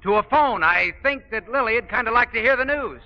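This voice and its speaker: An American actor playing an earnest, smitten theatre producer in 1940s radio style. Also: male voice, very high-pitched, lively intonation, a little fast. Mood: decisive. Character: earnest, smitten male theatre producer, flustered but determined. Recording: dull 1940s radio recording, music behind.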